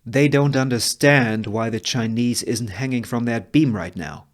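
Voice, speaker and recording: Brothel boss in a western read by a man: hard woman, brisk orders, calculating. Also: mid-range voice, lightly acted. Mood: scheming. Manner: curt, commanding.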